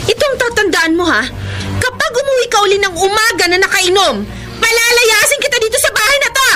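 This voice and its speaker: Very high-pitched feisty Filipina breadwinner. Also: female voice, expressive, fairly quick, over music. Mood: angry, scolding. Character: feisty hardworking online seller, bossy elder sister, proud. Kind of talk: Filipino radio drama acting.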